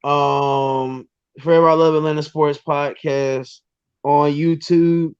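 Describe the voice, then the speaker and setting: A man in his twenties, a guest on a sports podcast, talking casually and excitedly with lots of restarts and ums. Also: on the high side, slow, clean tone, no room sound, dull audio. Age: 20s.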